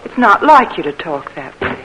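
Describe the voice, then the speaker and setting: A woman's voice: fairly low pitch, big pitch swings, moderate pace. An actress playing an emotional young Southern woman, pleading and earnest, in a 1940s radio drama. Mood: anxious. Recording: noisy old radio recording.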